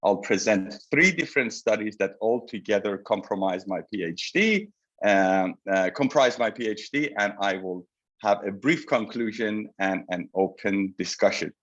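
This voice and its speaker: Man in his 40s, deepish voice, courteous lecturer on a muffled video call. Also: lively intonation, fairly bright tone. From Iran. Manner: polite, measured, addresses audience directly. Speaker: presenter, psychotherapy researcher. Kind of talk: webinar lecture. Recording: slightly dull video call audio.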